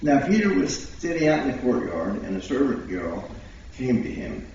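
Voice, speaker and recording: A man's voice: medium pitch, big pitch swings, moderate pace, creaky, a little mellow, a little hiss or hum. A man reading scripture solemnly as a church member at a service, recorded in a church. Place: large indoor church, distant mic. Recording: very echoey, distant, dull church recording.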